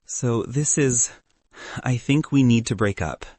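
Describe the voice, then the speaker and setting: A man voicing a torn, remorseful young woman in an audiobook, halting and earnest. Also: medium pitch, mellow, no room sound, close to an everyday voice.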